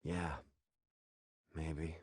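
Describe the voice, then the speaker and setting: A man playing a pensive, reserved, humble martial artist in an animated film dub. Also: very deep voice, very slow, slight background noise, heavily acted.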